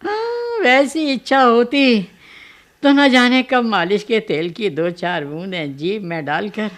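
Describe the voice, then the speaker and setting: An actor playing a sick, querulous old father in a radio drama, in a thin, creaky voice with plaintive grumbling. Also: high-pitched, lively intonation, takes it slowly, a bit bright, exaggerated voice. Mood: wounded, grumbling. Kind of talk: Hindi radio drama acting.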